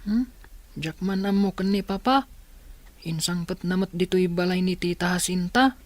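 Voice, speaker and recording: A woman's voice: on the low side, moderate pace, no room sound, lightly acted. A woman voicing a cautious young orphan boy, the hesitant, resigned older brother in an audio drama. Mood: resentful. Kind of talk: audio drama acting in Ilocano.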